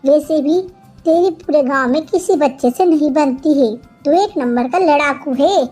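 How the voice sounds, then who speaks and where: Casting: woman to play high-voiced cartoon sparrow vegetable seller, feisty, singsong, quick to scold, light music underneath. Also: dark tone. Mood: angry, scolding. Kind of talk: Hindi kids' cartoon character voice.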